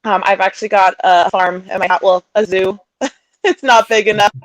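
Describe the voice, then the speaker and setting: A woman's voice: medium pitch, fairly even intonation, normal speed, no room sound, rough recording. Chatty woman with a dry close sound. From Utah, raised also in Sweden and England. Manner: chatty, laughs often, warm storytelling. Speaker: guest, coaching client. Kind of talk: casual interview talk.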